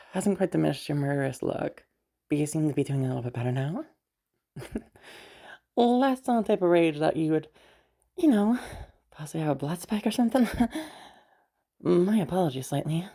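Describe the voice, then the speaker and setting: A woman playing a teasing, self-assured, sardonic yet protective young woman in a listener roleplay, rambling and halting as she talks. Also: sits low, creaky, dark tone, heavily acted. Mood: playful, amused. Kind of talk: roleplay audio drama addressed to the listener.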